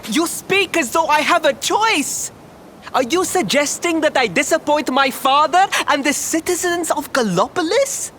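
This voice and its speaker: A man's voice: extremely high pitch, lively intonation, unhurried, pushed and bright, slight background noise, full character voice. A man playing a young prince in a video game, boastful yet insecure, with a pompous, formal, declamatory manner. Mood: defensive. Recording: game music under the voice.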